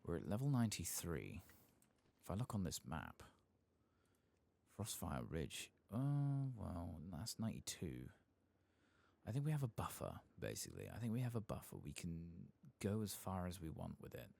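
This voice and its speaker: A soft-spoken man doing ASMR game commentary, murmuring and musing aloud to himself at a close microphone. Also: sits low, huge pitch swings, normal speed, vocal fry. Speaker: host of an ASMR game playthrough channel.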